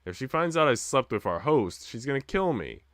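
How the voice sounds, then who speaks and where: A man's voice: mid-range voice, big pitch swings, normal speed, no room sound, clean studio sound. A man streaming a visual novel, reading the story narration expressively with casual asides.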